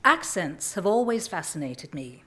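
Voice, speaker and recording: A woman's voice: lowish voice, very animated, a little slow, fairly bright tone. An older woman from England with a slightly mid-Atlantic English accent, reading a wry personal story on a small cabaret stage at a stand mic. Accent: English, mid-Atlantic tinge. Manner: wry, acts out voices in quotes. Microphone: vintage stand mic.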